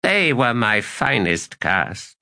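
A man voicing a weary, melancholy old male elder god, speaking in a resigned, slow and measured way. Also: medium pitch, very animated, vocal fry, sharp tone, voice pushed up, full character voice. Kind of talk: audiobook character reading.

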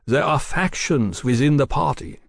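A man's voice: mid-range voice, normal speed, creaky, no room sound, studio quality, voice pushed up, natural voice. A man voicing a bitter, resentful middle-aged exile, in a storybook reading. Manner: aggrieved, rage held back.

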